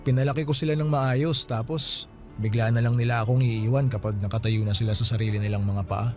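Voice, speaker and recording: Casting: male actor as earnest younger friend, soft mellow tone, respectful and sympathetic, radio drama. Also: mid-range voice, fairly even intonation, a little fast, faint music behind, close to an everyday voice. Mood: concerned. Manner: polite, earnest.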